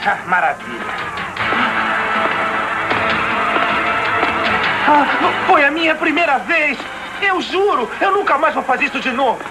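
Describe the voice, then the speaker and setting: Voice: high voice, big pitch swings, a little fast, rough recording. A performer playing an insecure, defiant teenage boy in a dubbed cartoon, with a Brazilian accent. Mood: scared. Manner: defiant, hesitant. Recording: music behind the dubbed voice.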